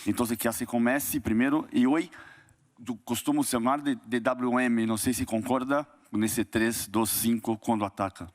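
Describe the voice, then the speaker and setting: A Brazilian sports journalist, a man whose first language is Portuguese, asks his question in a middle-pitched voice. His Brazilian accent is present throughout, and he restarts words haltingly. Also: steady tone. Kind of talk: asking a press conference question. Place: indoor press conference room.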